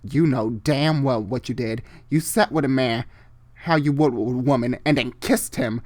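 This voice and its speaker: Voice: medium pitch, normal speed, darkish tone, no echo, natural voice. A reader voicing a wrathful father God from a story, speaking in a commanding, accusing way. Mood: angry, accusing.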